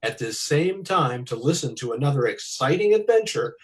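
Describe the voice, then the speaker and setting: A man's voice: medium pitch, big pitch swings, moderate pace. A man announcing in a polished, salesmanlike 1940s radio style.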